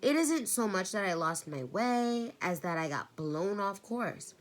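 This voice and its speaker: A woman reading aloud and voicing an eccentric old woman tramp with a creaky, gate-like voice. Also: mid-range voice, sing-song, unhurried, pitched above the performer's own voice. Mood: genteel.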